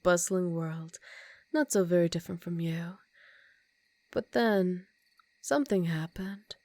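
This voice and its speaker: Low, whispery, seductive vampire countess, acted by a woman. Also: darkish tone, no room sound. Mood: wistful, sinister. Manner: slow teasing, dominant purr, often dropping into whispers. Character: seductive, commanding female vampire countess, ancient and predatory. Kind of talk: ASMR roleplay, intimate and partly whispered.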